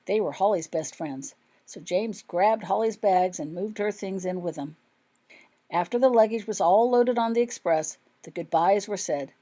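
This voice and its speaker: A woman's voice: mid-range voice, normal speed, dark tone. A woman reading her own fiction aloud as scripted audiobook narration.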